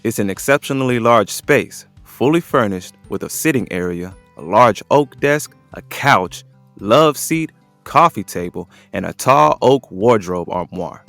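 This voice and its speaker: A man's voice: lowish voice, animated, a little slow, creaky, a bit bright, dry recording, soft background music. A man giving scripted, descriptive narration as the narrator of an audio drama.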